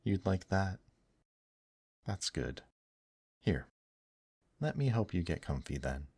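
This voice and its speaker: Tender demon companion , male actor, husky and close-miked with a very dry sound, reassuring and protective, for intimate ASMR audio roleplay. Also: deep voice, big pitch swings, dry recording, heavily acted. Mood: soothing, tender. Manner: soft, tender, reassuring, unhurried.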